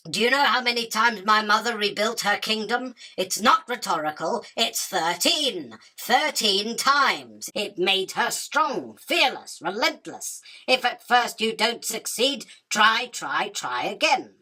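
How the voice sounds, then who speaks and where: An imperious spider queen from a radio drama, acted by a woman. Her voice is mid-pitched, bright and forceful. She talks down to her prey with haughty, dry scorn. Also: very animated, slow pace, heavily acted. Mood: defiant, proud. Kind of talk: illustrated radio drama acting.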